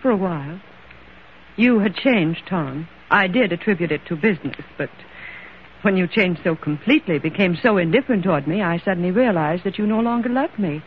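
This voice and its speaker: A lonely, discontented wife played by a woman in a vintage radio play. She speaks with wounded restraint through a thin, narrow-band broadcast sound with steady background noise. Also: lowish voice, fairly quick, natural voice. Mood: bitter, wounded. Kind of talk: old-time radio drama acting. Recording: tinny, noisy old radio recording.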